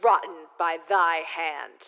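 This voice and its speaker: An actress playing a stern regal judge, imperious and formal, delivering archaic verse in a radio drama. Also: female voice, fairly low pitch, takes it slowly, big-room sound, narrow, tinny audio. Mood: stern, accusing. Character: stern regal judge queen presiding over trial.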